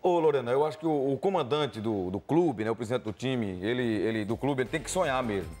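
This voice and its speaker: A man's voice: mid-range voice, lively intonation, brisk, a little mellow. A middle-aged man with a Brazilian accent giving conversational football commentary in a TV studio.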